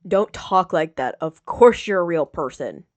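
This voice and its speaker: A woman voicing an earnest, protective young man in an audiobook, soft and intent in manner. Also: fairly low pitch, expressive, unhurried, no echo, pitched below the performer's own voice. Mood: pleading, protective.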